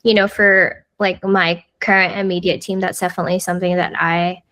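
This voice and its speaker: A woman's voice: on the low side, level tone, a little fast, bright, forceful tone, no echo. A woman, a podcast guest talking casually with lots of fillers. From United States. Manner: chatty, lots of fillers like "like" and "you know". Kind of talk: casual conversational interview.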